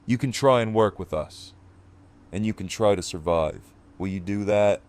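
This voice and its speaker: An actor playing a commanding, protective leader of a survivor group in an audio drama. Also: male voice, lowish voice, normal speed, a little mellow, smooth, close to an everyday voice. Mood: menacing, stern.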